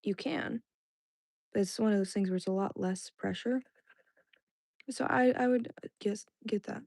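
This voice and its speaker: A woman's voice: medium pitch, moderate pace, gravelly, a little mellow, no room sound. A young woman whose first language was Indonesian, chatting casually with her stream viewers in a rambling way, full of "like"s and restarts. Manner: rambling, filler-heavy, many "like"s and restarts. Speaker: livestream host. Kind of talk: casual chat with live chat viewers.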